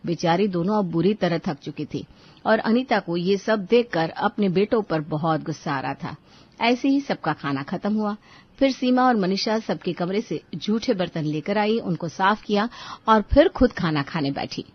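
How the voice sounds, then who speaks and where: A woman's voice: mid-range voice, expressive, moderate pace, lo-fi sound. A woman narrating a scripted moral story as a storyteller.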